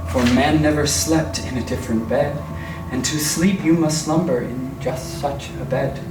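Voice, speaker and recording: A man giving a dramatic poetry recitation in measured, theatrical phrases. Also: a little high, noisy background. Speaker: poetry performer. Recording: echoey, distant voice under clear music.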